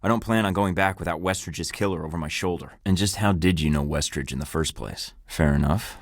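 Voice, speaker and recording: A man's voice: low-pitched, fairly quick, no room sound. An actor playing a young male field agent with a dry, sarcastic manner, as a video game voice. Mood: wary. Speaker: game protagonist, spy.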